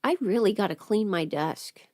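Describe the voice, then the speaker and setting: A woman's voice: medium pitch, animated, moderate pace, darkish tone, no room sound, clean studio sound. A woman who is sick with a cold, chatting casually on a livestream in a self-deprecating, playfully sarcastic way. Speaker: audio script performer hosting a livestream. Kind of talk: casual rambling livestream chat.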